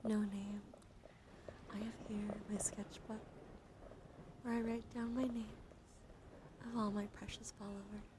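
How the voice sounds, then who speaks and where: Behind this voice there is clear background noise. A woman streams soft ASMR in a hushed, soothing tone at a middling pitch, often dropping into a whisper. Her throat sounds slightly strained, and she talks unhurriedly, close to the microphone. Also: flat delivery, a little slow, long pauses. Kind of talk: soft ASMR, guided relaxation and chat.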